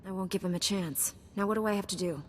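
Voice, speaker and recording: A woman's voice: medium pitch, fast talker, a little hiss or hum, lightly acted. Firm, composed heroine played by a woman, reverberant. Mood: resolute. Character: resolute, principled female Jedi knight commander. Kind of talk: video game voice acting.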